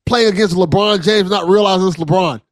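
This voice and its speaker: A man's voice: high voice, fairly quick. A man hosting a casual interview, asking curious questions in an informal, impressed way. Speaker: podcast host.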